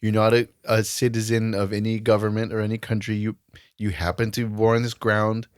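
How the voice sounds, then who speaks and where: A man's voice: fairly low pitch, flat delivery, brisk, clear, resonant tone, dry recording, clean studio sound. A man co-hosting a podcast in casual discussion, explaining things through examples and analogies. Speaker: podcast co-host.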